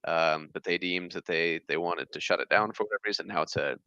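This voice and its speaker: Rambling male guest, 30s, very deep but bright tone, dry, slightly dull mic. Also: brisk, smooth, no echo. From born in Germany, raised across the US. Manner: rambling, many fillers and restarts. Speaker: guest, fish channel creator. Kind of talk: casual interview chat.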